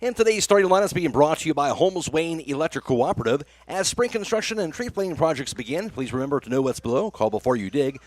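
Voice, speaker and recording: Higher-pitched man announcing on radio. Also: animated, fast, few pauses, dry recording. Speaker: radio play-by-play announcer reading ads and lineups. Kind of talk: scripted radio ad reading and sports lineup announcing.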